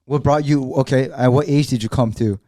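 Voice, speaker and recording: A man's voice: medium pitch, fairly even intonation, fairly quick, mellow, dry recording. Man, young, Los Angeles accent, slangy podcast host, dry close sound. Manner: slangy, swears freely, laughs often. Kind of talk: casual chat.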